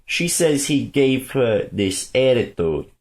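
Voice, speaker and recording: Male performer as a disgruntled New Yorker, mid-range pitch, pushy and crude, sweet-talking between complaints on a prank call. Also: very animated, slow, darkish tone. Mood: cajoling, indignant. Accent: New York. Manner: wheedling, sweet-talking, foul-mouthed complaining. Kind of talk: improvised prank phone call.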